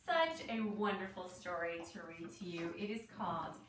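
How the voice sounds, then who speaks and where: A woman's voice: fairly low pitch, big pitch swings, moderate pace, crowd noise. A woman teaching young children in a read-aloud lesson, explaining things simply and encouragingly with frequent check-in questions. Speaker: elementary teacher. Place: indoor room with pet dogs nearby. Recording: roomy echo, distant mic, background noise.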